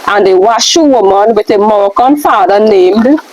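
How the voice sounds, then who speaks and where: A woman reading aloud from a book as one of the readers, with a somewhat halting delivery. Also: mid-range voice, a little mellow, low-quality recording. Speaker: reader in a question-and-answer scroll reading.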